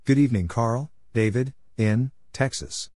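A man reading out radio call signs and short exchanges letter by letter in clipped, measured phrases, as a scripted announcer. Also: medium pitch, darkish tone, slight background noise. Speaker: Morse code practice announcer.

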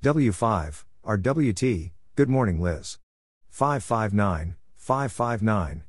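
A man with a fairly low, slightly husky voice reads scripted radio exchanges as a practice instructor. He goes very slowly, setting each letter and number apart in a deliberate, measured way. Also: expressive, dry recording, studio quality. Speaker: Morse code practice instructor.

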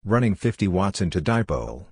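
A man reads short scripted amateur radio phrases as a drill narrator, in a clipped, deliberate, phrase-by-phrase delivery. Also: low-pitched, big pitch swings, slight background noise. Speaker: narrator of a Morse code practice drill.

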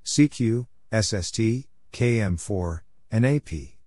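A man reading out short scripted phrases as a practice narrator, deliberately spelling things letter by letter. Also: lowish voice, animated, mellow, no room sound, studio quality. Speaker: Morse code practice narrator.

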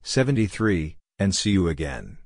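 A man with a fairly low voice narrates a practice drill in short scripted phrases. His delivery is measured, with letters and digits spelled out singly. The recording is dry, with hardly any room sound. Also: sing-song, a little mellow, no echo.

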